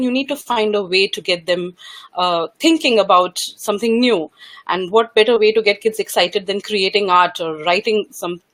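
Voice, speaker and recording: Eager woman guest, halting with fillers. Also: medium pitch. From Kuwait. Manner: eager, many uh fillers, restarts sentences. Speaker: guest, parenting blogger. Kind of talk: casual interview over a call.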